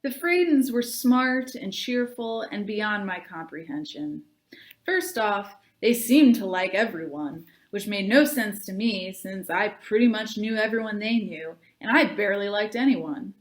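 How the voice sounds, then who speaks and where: A woman, a librarian, reading a children's book aloud and chatting to viewers in an expressive, upbeat way. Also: mid-range voice, measured pace.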